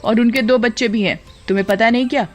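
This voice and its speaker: A woman voicing a discontented, sharp-tongued young wife in a cartoon story, complaining and scolding. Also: fairly high pitch, fast talker, lightly acted. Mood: angry. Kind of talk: Hindi cartoon story voice acting. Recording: background music.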